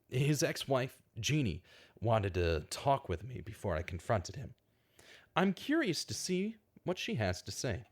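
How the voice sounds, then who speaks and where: A man playing an earnest, troubled investigator in a radio drama, with a deliberate, dramatic delivery. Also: on the low side, full character voice. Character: earnest, troubled male investigator, bitter at injustice. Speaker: narrator and investigator in an audio drama. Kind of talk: scripted radio drama acting with monologue narration.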